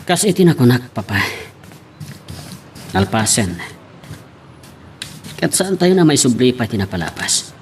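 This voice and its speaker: A man's voice: medium pitch, sing-song, measured pace, long pauses. A man playing a troubled young adult son in a radio-style drama. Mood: regretful. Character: troubled grown son justifying himself to his father. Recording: background noise behind the voice.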